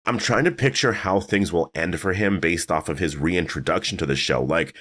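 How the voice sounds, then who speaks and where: A deep-voiced man talks through his thoughts in a loose, rambling commentary, his voice raspy and slightly worn. He fills pauses with "uh" and "like" as he speculates. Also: fairly bright tone. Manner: rambling, filler-heavy, thinking aloud. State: raspy, strained voice. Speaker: YouTube commentator. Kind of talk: casual video commentary.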